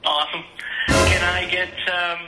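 A man's voice: high voice, little pitch movement, measured pace, roomy sound, loud music behind the voice, low-quality recording. A man taking a phone booking as a reservations agent, polite, apologetic and hesitant. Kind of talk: customer service phone call.

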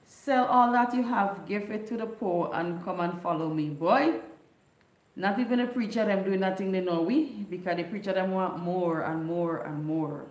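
A woman's voice: mid-range voice, moderate pace, a little mellow, roomy sound, rough recording. A middle-aged woman from Grenada with a Grenadian Creole English accent, hosting a casual livestream chat from her living room and telling stories to her viewers. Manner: chatty, retelling a folk story, reading viewer comments. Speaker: livestream host. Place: home living room with neon lights. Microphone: desk microphone on stand.

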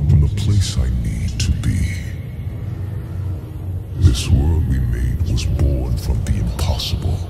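An actor playing a weary, embittered, imperious ancient being in a dark fantasy game, speaking gravely as if passing judgment. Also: male voice, full character voice. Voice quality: gravelly, very deep. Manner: grave, slow, pronouncing judgment. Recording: loud music behind the voice.

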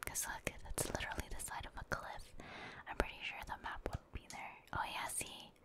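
A woman speaks entirely in a soft, breathy whisper. She narrates her gameplay in an ASMR style, thinking aloud without hurry and addressing viewers casually. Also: normal speed. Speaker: game streamer, ASMR creator.

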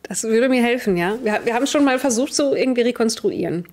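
A woman's voice: on the high side, lively intonation, fast talker, darkish tone. A young adult woman, recapping a tabletop role-playing game to her players as game master on a video call.

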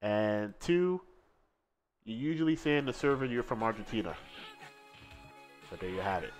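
A man co-hosting a live fighting-game stream, commentating matches and reading chat aloud, excitable and quick to laugh. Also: lowish voice, faint music behind. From Seattle.

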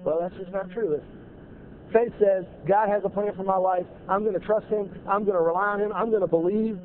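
A man in his thirties delivers a sermon, his voice pitched high. He presses his points hard and repeats key phrases. The lapel-mic audio sounds thin and phone-like, with a faint hiss behind it. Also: fairly even intonation, fairly quick. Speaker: pastor. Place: large church sanctuary with choir behind. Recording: tinny narrow-band audio, faint noise.

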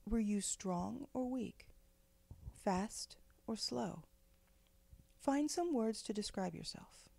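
A woman leading a guided meditation in a gentle, measured, soothing way, with pauses between phrases. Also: mid-range voice, expressive, gravelly, no echo. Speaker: guided meditation leader, spiritual director.

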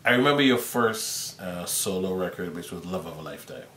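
A man's voice: expressive, a little fast, sharp tone. A man, conducting a casual, conversational interview. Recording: roomy sound with faint rumble.

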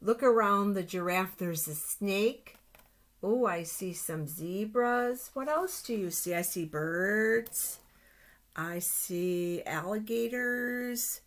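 An older woman reading a picture book aloud to young children, exclaiming and pointing things out with drawn-out words. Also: mid-range voice. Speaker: storytime reader for children. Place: small office, indoors. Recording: noisy webcam recording with clicks.

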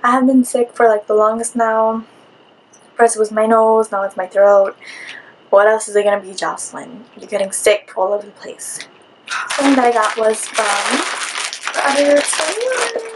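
A young woman vlogger, her voice raspy from a cold, chatting in a rambling way about her shopping haul, recorded on a camera mic in a small room in front of a curtain. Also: on the high side, moderate pace, slight background noise, rough recording. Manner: chatty, rambling, with many "like" fillers. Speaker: beauty vlogger. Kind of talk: casual haul video chat.

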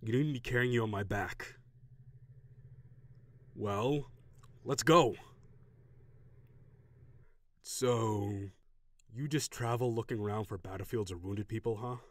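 A man voicing a proud, gruff tsundere dragon warrior in an audio roleplay, brusque and defensive with grudging sighs. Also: fairly low pitch, slow pace, pauses often, dry recording. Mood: flustered, brusque.

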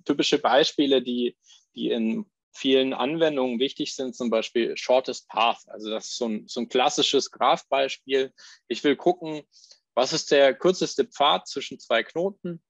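Gravelly man giving a software demo. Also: medium pitch, no room sound, muffled recording. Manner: explains step by step while clicking, fillers and restarts. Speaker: software presenter. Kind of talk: live software demo talk.

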